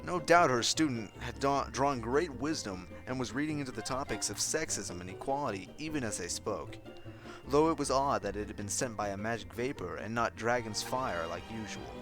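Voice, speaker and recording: A man narrating a fan fiction story in an expressive, scripted reading style, with music behind. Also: mid-range voice, normal speed, low-quality recording.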